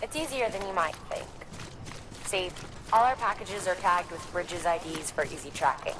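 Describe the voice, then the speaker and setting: A helpful technical adviser in a video game tutorial, voiced by an actor. The voice is mid-pitched, matter-of-fact and a little wry. Clear noise runs behind it. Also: moderate pace, close to an everyday voice. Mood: helpful explaining.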